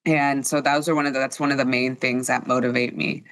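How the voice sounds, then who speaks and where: A woman's voice: a bass voice, flat delivery, fairly quick, no echo. A young adult woman, a law professor and attorney, talking earnestly and upbeat in a casual podcast interview. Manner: earnest, upbeat, talks with many fillers.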